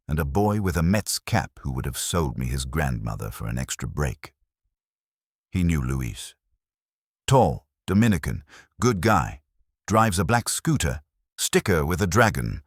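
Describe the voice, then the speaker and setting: A man reading a scripted first-person detective story as an audiobook narrator, in a wry, deadpan manner. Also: rumbling low, measured pace, dry recording, clean studio sound.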